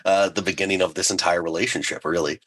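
A man who writes for a living, chatting as an interview guest in a measured, dry-humoured way. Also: low voice, fairly even intonation, fairly bright tone, husky. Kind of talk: casual interview chat.

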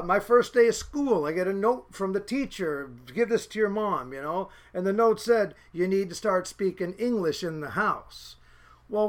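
A middle-aged man with a notably high voice, raised speaking Norwegian, looks back on his childhood in a loose, rambling way. He stops and restarts his sentences often, leaning on fillers as he talks. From Seattle area, USA. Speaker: fisherman's son recalling childhood. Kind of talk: casual reminiscing.

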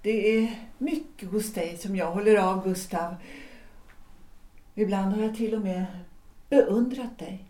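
An actress playing a composed, resolute upper-class wife in a period audio drama, speaking deliberately with weighty pauses. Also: female voice, mid-range voice, dark tone, close to an everyday voice. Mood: measured, frank. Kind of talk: period stage-play audio drama acting. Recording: roomy echo.

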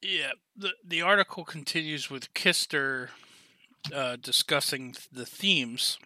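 Guy on a podcast, super bright forceful voice, dry close sound, kinda halting and hedging. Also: a little high, no room sound. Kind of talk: casual podcast discussion.

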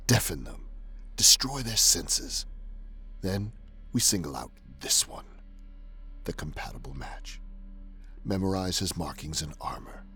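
An actor playing a ruthless traitor commander who gives measured, menacing orders in an audio drama. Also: male voice, extremely low pitch, sharp tone, slightly rough, full character voice. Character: ruthless male traitor commander, cold schemer. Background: music.

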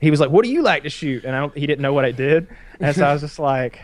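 A man's voice: a little high, fast, no echo. A man in his thirties hosts a casual chat. He rambles with lots of restarts and swearing. The sound is close and dry, with no room around it. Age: 30s.

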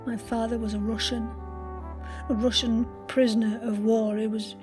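An actress plays an older woman in a naturalistic audio drama. Her voice is high, and she speaks haltingly but insistently, with emotion. She is an aggrieved daughter who will not let an injustice drop. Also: little pitch movement, over music, lightly acted. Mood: hurt, bitter. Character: aggrieved, persistent daughter of a Russian prisoner of war.

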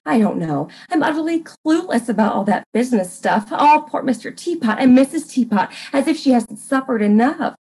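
A woman's voice: sits high, expressive, normal speed, hardly any pauses, a little mellow, husky, heavily acted. A woman playing a flustered, scatterbrained society wife who gossips coyly and hesitantly in a comic murder mystery reading. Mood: flustered, sympathetic. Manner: fluttery, hesitant, coyly confiding.

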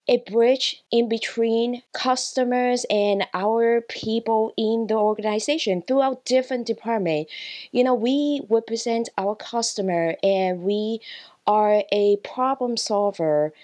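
Slow, high-voiced woman guest. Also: darkish tone. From China, grew up in Hong Kong. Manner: earnest, with some non-native grammar. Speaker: customer service employee, guest. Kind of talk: conversational interview.

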